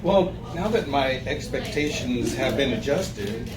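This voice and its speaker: A man tasting beer with friends, measured with a dry sense of humor. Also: medium pitch, lively intonation. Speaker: taster. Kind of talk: casual chat. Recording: echoey room, distant mic, loud crowd.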